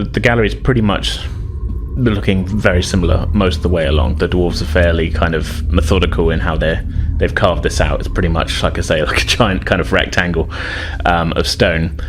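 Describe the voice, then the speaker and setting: A man's voice: deep voice, animated, fairly quick, background noise. A man narrating a tabletop fantasy game as game master, conversational and hesitant, rephrasing his descriptions as he goes.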